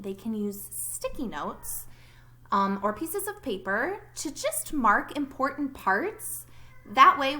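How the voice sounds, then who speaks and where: A woman teacher giving a read-aloud lesson to young children, expressive and instructive, thinking aloud as she goes. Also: on the high side. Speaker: primary school teacher.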